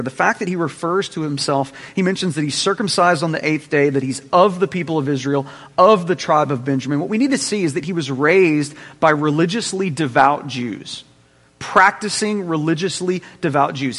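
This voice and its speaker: A man's voice: on the high side, a bit bright, big-room sound. A man teaching a Bible class in a conversational way, checking in with his listeners as he explains.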